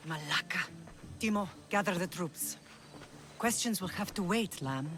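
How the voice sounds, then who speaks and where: A woman's voice: lowish voice, lively intonation, a little slow, a bit bright, slight background noise. A woman playing a grave, firm middle-aged mother who leads an ancient Greek island in a video game. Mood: brisk. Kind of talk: video game cutscene acting.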